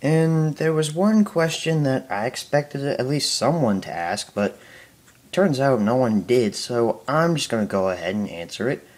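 A young man answering viewer questions in a casual home video, talking haltingly with a stutter, many fillers and restarts. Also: medium pitch, lively intonation, darkish tone. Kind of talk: casual Q&A talk to camera.

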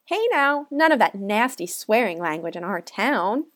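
A woman's voice: medium pitch, very animated, normal speed, fairly bright tone. A woman playing a gushing, lovestruck career woman with an earnest, over-sweet delivery in a parody radio play.

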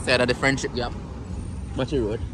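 A man's voice: medium pitch, flat delivery, fairly quick, noisy background. A young Jamaican man chatting to his viewers while filming street food stalls outdoors on a phone. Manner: chatty, addresses viewers as "people". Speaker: food vlogger. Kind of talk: casual street vlog talking to viewers. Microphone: handheld phone camera.

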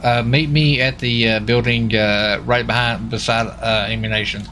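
A man with a bright, forceful voice at a middle pitch chats in a folksy, casual way while playing a roleplay game. Faint vehicle noise runs behind him. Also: steady tone, normal speed. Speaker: live streamer playing a roleplay video game. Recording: noisy engine and street sounds behind. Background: vehicle and game ambience.

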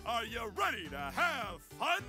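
A man's voice: very high-pitched, huge pitch swings, a little slow, heavily acted. A man voicing an over-cheerful theme park mascot in a game parody, gushing like a showman. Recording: music behind game parody dialogue.

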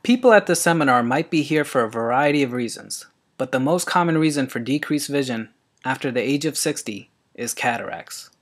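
A man from Maryland, an eye surgeon, reading his introduction and a presentation in an earnest, even way. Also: medium pitch, a little fast. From Maryland, USA. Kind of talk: read-aloud self-introduction and presentation.

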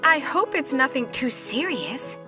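A sweet pony mum, acted by a woman for a cartoon dub. Her voice is very high and animated, gently fussing, over faint music. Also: measured pace. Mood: concerned. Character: sweet, doting pony mother. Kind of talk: cartoon comic dub acting.

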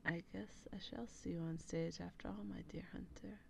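A woman playing a teasing, wistful female vampire in a breathy, deliberate ASMR role play. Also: fairly low pitch, steady tone, mellow, slight background noise. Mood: pleased. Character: female vampire, teasing, wistful, intimately affectionate theater haunter.

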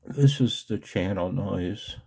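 An older man with a gravelly, husky voice talking casually and haltingly in a personal, emotional, confessional video blog. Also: deep voice, a little mellow. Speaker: amateur radio video blogger. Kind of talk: personal screen-share vlog, casual monologue.

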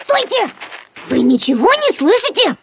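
An actor voicing an excitable young boy bear cub in a children's cartoon, with a theatrical, announcer-like delivery. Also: very high voice, measured pace, full character voice. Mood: excited.

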